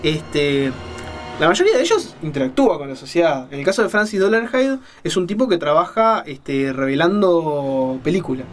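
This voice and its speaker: A man with a slightly high voice talks like a radio reviewer. He explains things conversationally, with frequent little check-in tags, over faint background music. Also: very animated, normal speed. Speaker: radio show host reviewing books and films. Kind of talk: conversational book and film commentary.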